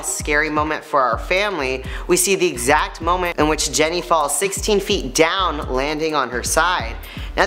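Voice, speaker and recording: A young man with a high-pitched voice hosting an upbeat, scripted news recap in a studio, chatty and playful. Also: bright, forceful tone. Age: young adult. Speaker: host.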